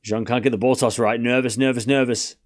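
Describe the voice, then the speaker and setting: Quick, teasing Australian man calling tennis. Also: mid-range voice, steady tone. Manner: teasing, boastful, talks straight to chat. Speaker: live-stream tennis commentator. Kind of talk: casual play-by-play commentary to chat.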